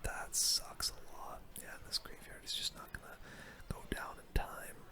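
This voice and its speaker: A gaming host softly whispering relaxed ASMR gameplay commentary into a close microphone. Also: moderate pace.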